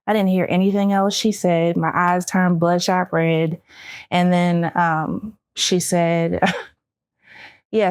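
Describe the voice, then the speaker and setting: American woman panelist, clean resonant tone, a little low, open and candid testimony. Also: smooth, no echo, clean studio sound. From Baltimore, United States. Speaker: round-table panelist, army veteran, cancer survivor. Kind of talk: personal testimony in a round-table talk.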